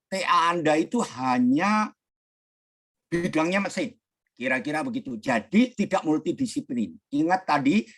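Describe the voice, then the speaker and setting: A middle-aged male lecturer with a raspy, hoarse voice, giving an online lecture over a computer mic and explaining slowly and deliberately. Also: a little high, fairly bright tone. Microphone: computer mic on a video call. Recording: noisy call line.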